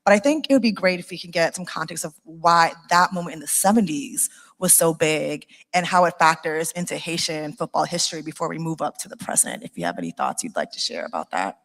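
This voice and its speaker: A woman moderating a live panel, posing questions in a measured way. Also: sits low, expressive, fairly bright tone.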